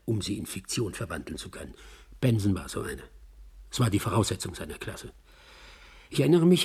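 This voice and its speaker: An actor playing a reflective man who muses and explains as he reminisces, in a husky, gravelly voice, in a radio drama. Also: mid-range voice, darkish tone, heavily acted. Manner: musing, explanatory. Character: reflective male raconteur reminiscing about actors.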